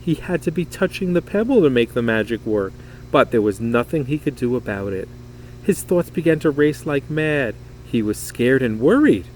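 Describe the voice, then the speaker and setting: A man reading a children's story aloud in an expressive, dramatic, emphatic way. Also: mid-range voice, normal speed, a little hiss or hum, rough recording. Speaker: storybook reader.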